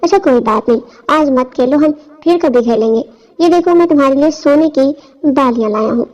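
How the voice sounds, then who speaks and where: A woman's voice: high voice, a little fast, a little mellow. A woman voicing a coaxing, scheming older rich man in a scripted audio story. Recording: dull, lo-fi audio.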